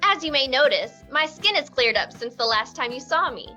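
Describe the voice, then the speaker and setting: Infomercial pitchwoman played by an actress, polished and self-promoting, high, forceful voice over music, parody ad. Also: lively intonation, normal speed, highs cut off. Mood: upbeat, salesy. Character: polished, self-promoting infomercial saleswoman selling skin products.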